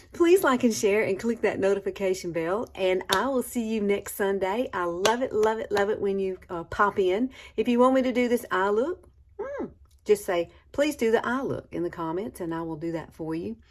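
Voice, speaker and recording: A woman's voice: medium pitch, expressive, normal speed. A woman, chatting casually through a makeup tutorial and calling viewers girl and y'all. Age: 60s. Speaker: beauty vlogger.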